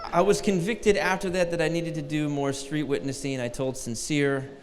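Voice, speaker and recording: A man's voice: fairly high pitch, steady tone, moderate pace, long reverb tail, slight background noise. A man in his forties from Detroit, a guest preacher giving a sermon with a light, thin voice and a joking, self-deprecating manner. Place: large church hall.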